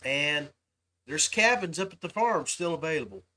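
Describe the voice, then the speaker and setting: A man's voice: fairly high pitch, expressive, normal speed, pushed and bright. A man hosting a livestream podcast, talking casually and informally to his viewers. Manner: informal, addresses listeners as guys. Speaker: podcast host.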